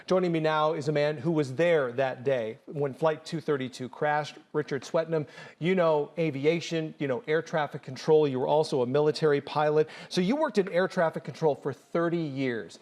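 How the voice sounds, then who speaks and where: A man with a measured, formal delivery, interviewing a guest for broadcast news. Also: a little high, a little mellow.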